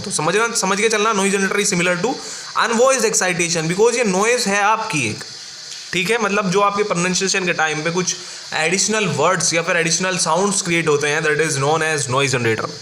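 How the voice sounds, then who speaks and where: High-pitched Indian male tutor, echoey room. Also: pushed and bright. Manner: didactic, often checking in with tag questions. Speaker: engineering tutor. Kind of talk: informal explanatory lecture mixing Hindi and English. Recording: echoey room with faint background noise.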